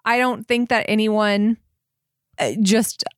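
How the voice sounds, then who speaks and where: A woman's voice: medium pitch, fairly even intonation, slow, clean tone, dry recording, clean studio sound. A woman co-hosting a horror movie podcast, chatting casually, often laughing and a little hesitant. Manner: giggly, hesitant. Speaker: podcast co-host.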